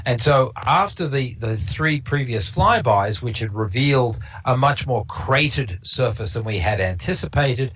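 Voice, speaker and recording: A man's voice: medium pitch, expressive, unhurried, hardly any pauses, fairly bright tone, slightly rough. A man who speaks English as a non-native language, presenting a casual observatory talk and rambling with many fillers and restarts. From Canada. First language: not English. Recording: slightly dull lo-fi stream audio.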